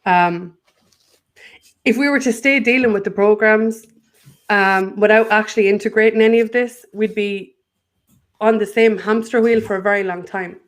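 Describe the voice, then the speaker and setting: A woman's voice: medium pitch, steady tone, normal speed. A woman with an Irish accent, a guest in a spiritual conversation, speaking earnestly and often breaking off to restart her thought.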